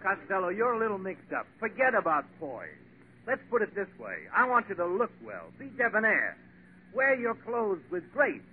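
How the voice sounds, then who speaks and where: A man's voice: high voice, huge pitch swings, normal speed. A man playing a polished, insistent and patronizing Hollywood talent agent in a 1940s radio comedy. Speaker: actor. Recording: tinny, hissy old 1940s radio broadcast.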